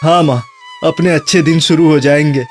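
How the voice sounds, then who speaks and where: A man's voice: a little high, normal speed, faint music behind, lightly acted. A man voicing an earnest, hardworking younger son in a cartoon moral story, speaking sincerely and pleadingly. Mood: hopeful.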